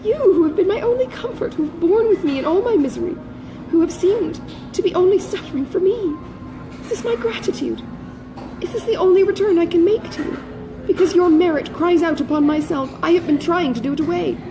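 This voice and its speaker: A woman's voice: very high-pitched, brisk, music in the background, pitched above the performer's own voice. A woman reading an audiobook, voicing a passionate, exclamatory young sister from a classic novel. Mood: anguished remorse. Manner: impassioned, exclamatory, self-reproaching.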